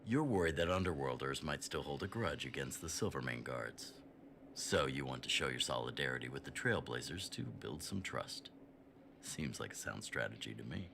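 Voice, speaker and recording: Very deep, gruff older commander. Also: male voice, lively intonation, bright, forceful tone, a little hiss or hum, full character voice. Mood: measured approval. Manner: blunt, measured, commanding. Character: gruff, pragmatic older male resistance leader. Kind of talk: scripted video game voice acting.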